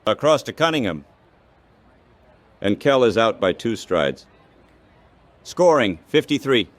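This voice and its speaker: A man calling baseball play-by-play in measured, clipped phrases, like scripted radio commentary. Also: medium pitch, big pitch swings.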